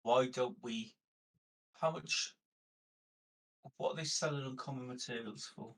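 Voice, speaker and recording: A man with a husky, slightly rough voice of medium pitch discusses a tabletop game. He talks casually and keeps checking with the other players. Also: unhurried.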